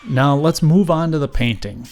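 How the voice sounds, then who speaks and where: A man's voice: a little high, normal speed, darkish tone. A man giving scripted how-to narration in a wry, mock-grand way. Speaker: host and craftsman.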